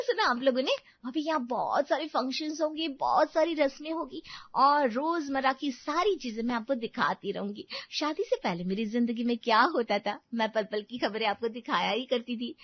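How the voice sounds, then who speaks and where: A woman's voice: high-pitched, expressive, normal speed, no echo. A woman voicing a chatty, ambitious young bride who vlogs to camera in a cartoon story. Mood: bubbly. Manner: bubbly, talking to camera. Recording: dull dry recording.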